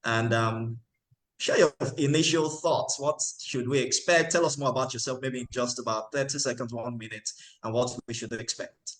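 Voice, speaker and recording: A man hosting a webinar panel, introducing speakers in a relaxed, chatty way with fillers and light jokes, heard over a video call. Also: medium pitch, moderate pace, rough recording. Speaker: webinar moderator.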